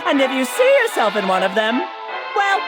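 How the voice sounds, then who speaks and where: A flamboyant jester of lies in a cartoon game. The voice is high and swoops wildly, drawing words out with singsong, mock-humble grandeur. Loud music plays behind. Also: female voice, sing-song, normal speed. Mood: mock apologetic. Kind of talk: grandiose scripted stage narration.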